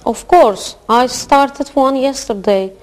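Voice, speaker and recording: A woman's voice: high-pitched, takes it slowly. A woman speaking as a student, giving scripted classroom answers.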